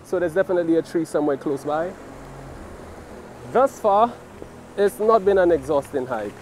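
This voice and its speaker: A man with a high, light voice talks through a nature hike in an easygoing, wonder-struck way. He records himself outdoors by a river on a handheld camera, with faint noise behind him. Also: moderate pace. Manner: relaxed, marveling commentary. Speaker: vlogger. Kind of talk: hiking vlog narration.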